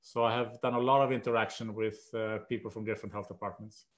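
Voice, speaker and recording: Older Swedish-accented man, measured. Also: on the low side, steady tone. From Sweden. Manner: measured, hesitant with many "uh"s. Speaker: guest professor. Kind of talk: conversational interview. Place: home room. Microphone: video call.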